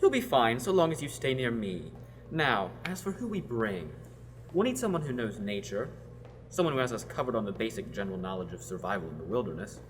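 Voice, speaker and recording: A man's voice: medium pitch, sing-song, a little fast, slight background noise, lightly acted. A young man in a student radio drama, playing a self-assured, pious knight who bosses others around. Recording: long reverb.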